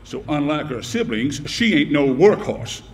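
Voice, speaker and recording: An actor playing a brash, tough air force commander with a commanding, profane swagger in an audio drama. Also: male voice, a little high, very animated, a little slow, a little hiss or hum. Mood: swaggering, stern. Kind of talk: audio drama military speech.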